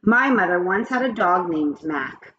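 A woman reading aloud and voicing a freckled schoolgirl in a brighter, chirpy voice. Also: lowish voice, lively intonation, a little slow, highs cut off, a little hiss or hum. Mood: timid. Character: freckled schoolgirl who turns bold.

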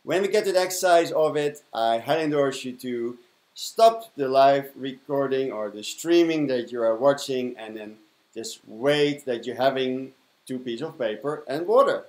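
A man's voice: medium pitch, moderate pace, darkish tone. A man in his fifties with a Dutch accent gives an effusive spiritual talk to camera, repeating affirming words. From Netherlands. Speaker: spiritual mentor and broadcast host. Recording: roomy, echoing indoor sound.

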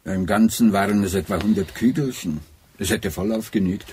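A male actor plays a country doctor in a radio play. His voice is dark and fairly low, his delivery factual and businesslike. A slight noise lies behind it. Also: normal speed, close to an everyday voice. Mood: matter-of-fact. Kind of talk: radio drama acting.

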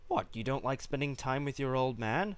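A man's voice: mid-range voice, animated, normal speed, clean tone, close to an everyday voice. A man voicing an easygoing, amused pony father with a mild, teasing manner in a story reading. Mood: gently teasing.

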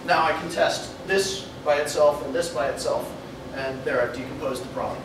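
A young man giving a meetup talk at a whiteboard, animated and laughing often as he thinks aloud and takes questions. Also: on the high side, moderate pace. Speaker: meetup speaker. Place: meeting room with an audience. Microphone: far camera mic. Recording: echoey room from far off, noisy.

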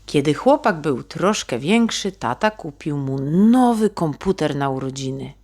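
A woman narrating a children's story in an expressive, dramatic way, addressing young listeners directly. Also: deep voice, a little slow, a little hiss or hum.

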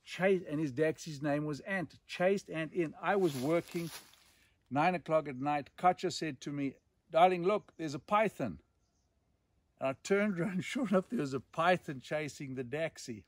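A man in his sixties with a Zimbabwean accent tells stories emphatically, pausing and repeating words for dramatic effect. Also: a little high, no room sound. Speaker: storyteller and host. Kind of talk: animated outdoor storytelling. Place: outdoors in dense bush.

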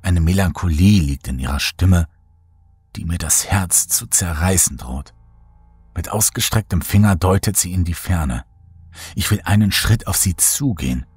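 Husky low-voiced male horror narrator. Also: animated, unhurried, a little mellow, dry recording, soft background music. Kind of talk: scripted audiobook narration.

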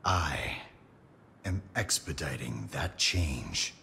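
A man playing a cold, calculating villainous mastermind in a video game, measured, ominous and superior. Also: rumbling low, expressive, gravelly, heavily acted. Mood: cold, grandiose. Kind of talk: video game cutscene acting. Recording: loud helicopter noise behind.

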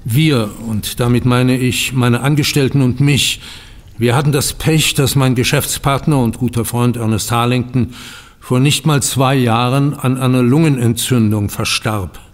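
A polite, troubled banker played by a male actor in a radio drama. His voice sits at a medium pitch and his wording is formal and ornate. The room rings with a long reverb. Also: fairly even intonation, measured pace, close to an everyday voice. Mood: rueful. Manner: formal, flowery, courteous. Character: polite, anxious male banker in financial ruin. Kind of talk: German radio play acting.

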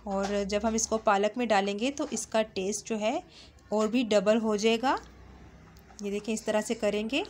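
A woman's voice: medium pitch, steady tone, moderate pace, a little hiss or hum. A woman, narrating a home cooking recipe as a channel host, in a friendly, instructive way with small fillers. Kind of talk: step-by-step cooking voice-over. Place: home kitchen.

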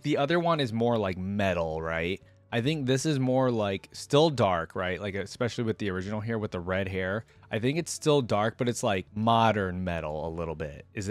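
Young adult man, animated intonation, dry close-mic sound, slangy casual streamer commentary. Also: mid-range voice, no echo. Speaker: reaction video host. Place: indoor streaming setup. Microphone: close desk microphone with headset on.

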